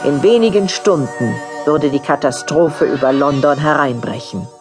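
A woman's voice: deep voice, moderate pace, over loud music, close to an everyday voice. A woman narrating a horror radio play in a dramatic, scripted style.

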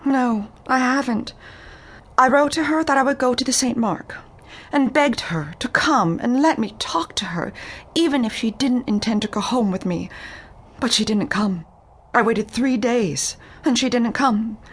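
An actress playing a timid, refined young woman client in a detective audio drama, speaking in a halting, pleading manner. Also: on the high side, slightly rough. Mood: distressed, pleading.

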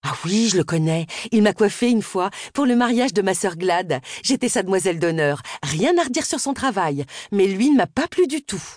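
A woman's voice: mid-range voice, animated, moderate pace, hardly any pauses, a little mellow, no echo, clean studio sound, pitched above the performer's own voice, natural voice. A woman voicing a gushing, easily indignant young single mother who serves as a village club's secretary. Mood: gossipy. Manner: effusive, indignant.

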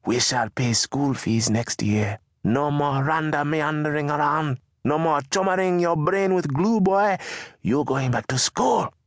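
A man voicing a fierce, toothless mother in a slum shack, scolding in a high, sharp voice. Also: unhurried, dry recording, studio quality, close to an everyday voice.

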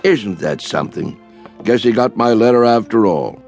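An actor playing a gentle, hopeful elderly man in a radio drama, courtly and mild in speech. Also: on the low side, lively intonation, normal speed, creaky, soft background music. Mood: pleased, encouraging. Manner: courtly, mild, well-spoken.

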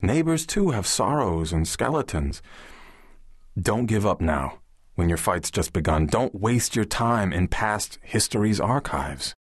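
A man's voice: fairly low pitch, very animated, measured pace, no echo, studio quality. A man playing a combative, embittered divorced publisher, declaiming verse to rally readers in an audiobook. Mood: rousing. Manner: declamatory, exhortative. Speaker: audiobook narrator. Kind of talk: dramatic verse recitation.

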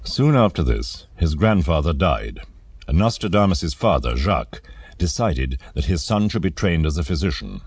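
A man narrating a scripted dramatised documentary in a measured, theatrical manner, posing rhetorical questions. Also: low-pitched, sing-song, darkish tone, breathy edge, no echo.

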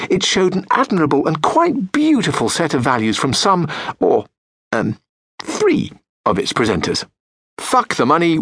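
A British man narrating an audiobook in a sardonic, profane, deadpan comic style. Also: breathy edge, no echo. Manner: lively, wide-ranging pitch. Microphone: close studio mic.

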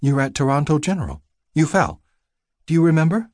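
A man voicing a female nurse in an audiobook, caring but firm and gently bossy, soothing and scolding. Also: fairly high pitch, big pitch swings, brisk, no room sound, clean studio sound, pitched above the performer's own voice. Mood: gentle, soothing.

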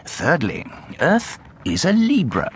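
A British actor playing a bookish, fussy angel who lectures pedantically and wryly in a radio drama. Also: male voice, on the high side, wildly swooping pitch, takes it slowly, slight background noise, heavily acted. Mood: pedantic, wry. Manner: pedantic, hesitant, wry lecturing delivery. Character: bookish, fussy, donnish angel and part-time book dealer.

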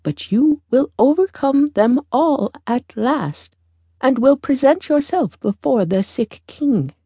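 A woman voicing a wise old beggar woman in a storybook tale, speaking in a deliberate, imploring and instructive manner. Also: high-pitched, lively intonation, mellow, breathy edge, no echo, pitched above the performer's own voice, exaggerated voice. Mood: commanding, instructive.

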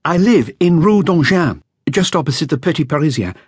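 A man's voice: on the high side, animated, brisk, slightly rough, no room sound, studio quality, pitched above the performer's own voice. A man voicing a nervous, earnest young male flautist telling what he witnessed. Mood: anxious. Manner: anxious, breathless, earnest.

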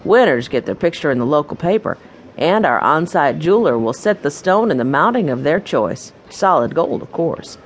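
A woman's voice: low-pitched, very animated, normal speed, slight background noise. A woman voicing a friendly, businesslike man with a folksy, salesmanlike manner in a children's audiobook. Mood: welcoming, informative. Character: friendly businesslike man, owner of gem mine.

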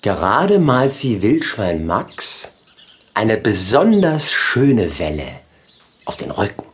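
A storybook narrator reading a children's tale in a deliberate, expressive way. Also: mid-range voice. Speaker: audiobook narrator.

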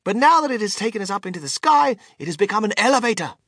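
A man voicing an exuberant eccentric gentleman inventor in a storybook, theatrical, emphatic and breezily unbothered. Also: high-pitched, very animated, quick pace, no room sound, clean studio sound, voice pushed up, exaggerated voice. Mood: breezy, grand. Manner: theatrical, emphatic, courteously dismissive.

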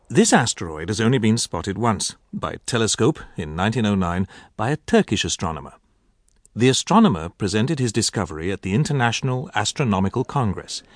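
A man's voice: fairly low pitch, no echo. A man playing a reflective pilot who narrates his memories in a radio drama, confiding as a storyteller.

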